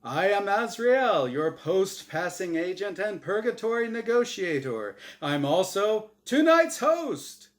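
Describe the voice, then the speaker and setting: A man acts out a brash angel game-show host from a comic story. He booms with glib announcer patter, pitched up high and swooping with showmanship. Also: sing-song, slow pace, hardly any pauses, exaggerated voice. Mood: showy welcome.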